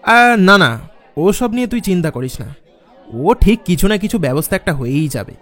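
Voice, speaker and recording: A man's voice: high-pitched, big pitch swings, normal speed, fairly bright tone, a faint crowd. An actor playing a skeptical young office man in a dramatised horror story. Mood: dismissive. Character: young office man recounting his eerie night, dismissive, skeptical. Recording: music under the voice. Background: background music.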